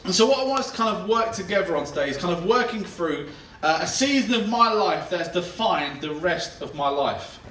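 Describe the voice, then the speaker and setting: A man's voice: sits high, expressive, a little fast, fairly bright tone, echoey room, recorded from a distance, a little hiss or hum. A man in his thirties, a pastor giving a chatty, self-deprecating sermon and laughing as he talks. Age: 30s. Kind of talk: conversational sermon. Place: church auditorium.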